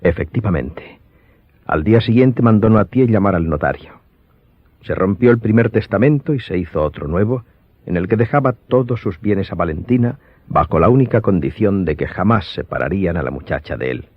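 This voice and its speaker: A man's voice: sits low, expressive, moderate pace, no room sound. A man narrating a scripted radio drama as the storyteller. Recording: slightly dull audio, music behind.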